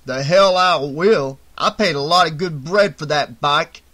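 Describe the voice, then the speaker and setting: Man putting on forceful, bossy biker gang boss. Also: a little high, measured pace. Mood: defiant. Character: tough, domineering male biker gang boss, hot-tempered.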